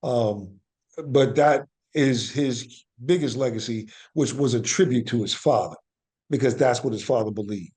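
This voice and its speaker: A man's voice: medium pitch, unhurried, a little mellow. A man speaks as a guest in a conversational interview, with a mid-range voice. He explains things earnestly and reflectively, repeating phrases to drive his points home. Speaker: interview guest, actor and playwright.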